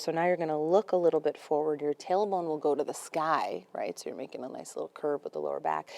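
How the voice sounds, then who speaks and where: A young woman guiding a yoga class in a gentle, unhurried, conversational way. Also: low voice, creaky. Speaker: yoga instructor. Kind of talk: guided yoga class instruction.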